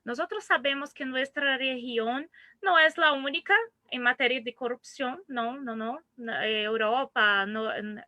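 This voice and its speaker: High, bright Brazilian woman lecturing. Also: no echo. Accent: Brazilian Portuguese. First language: Portuguese. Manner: mixes Portuguese words into halting Spanish, frequent restarts. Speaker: seminar speaker. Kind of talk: online seminar lecture.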